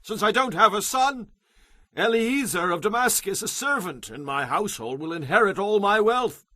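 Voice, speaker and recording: Abram, husky, fervent patriarch. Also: male voice, sits high, animated, normal speed, pushed and bright, dry recording, clean studio sound, exaggerated voice. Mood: pleading. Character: Abram, earnest patriarch pleading with his God. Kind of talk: dramatized audio Bible acting.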